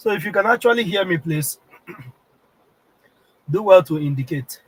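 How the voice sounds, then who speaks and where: Nigerian male host, sick with a cold, husky, slightly high-pitched, insistent live-stream talker. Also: animated, a little slow, dry recording, rough recording. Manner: keeps clearing his throat, repetitive and insistent. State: has a heavy cold, throat strained. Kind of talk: political live-stream address.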